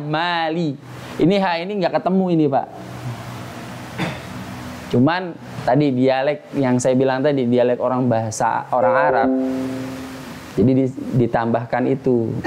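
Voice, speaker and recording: Slow-paced man teaching Quran recitation. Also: fairly high pitch, a little hiss or hum. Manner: informal, patient explaining with check-in questions. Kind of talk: interactive tajwid lesson with recited examples. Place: small study gathering in a private home.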